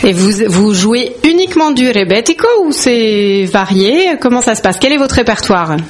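A woman's voice: on the high side, expressive, moderate pace. A woman hosting a radio show, interviewing guests conversationally with attentive questions and acknowledgements.

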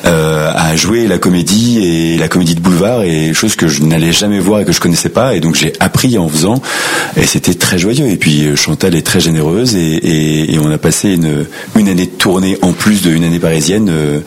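A man with a French accent, an actor casually telling stories in an interview, enthusiastic and often halting. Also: low voice, husky. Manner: enthusiastic, halting, repeats himself. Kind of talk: casual anecdotal interview talk.

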